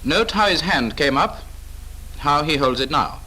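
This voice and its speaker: Bright-voiced British man, 1940s training film narrator. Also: a little high, expressive, a little slow, rough recording. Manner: clipped, authoritative, instructive. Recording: old 1940s film soundtrack.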